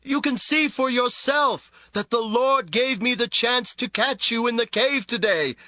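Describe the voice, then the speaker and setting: An earnest young fugitive warrior, calling out high. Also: male voice, no echo, exaggerated voice. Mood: urgent pleading. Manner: shouting from a distance, slow and emphatic. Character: young male fugitive warrior pleading earnestly with his king. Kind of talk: dramatized audio Bible acting.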